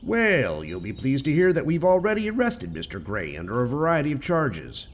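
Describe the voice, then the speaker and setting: A man's voice: a little high, wildly swooping pitch, moderate pace, exaggerated voice. A man playing a stern, accusing police detective in a radio theater drama.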